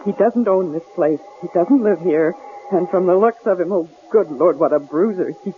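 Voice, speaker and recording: Radio drama female lead, actress: scared, suspicious, quick-witted traveler, urgent and guarded, thin lo-fi vintage sound. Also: fairly low pitch, natural voice. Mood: urgent, afraid. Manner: tense, insistent, guarded. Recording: lo-fi old radio recording, faint hiss.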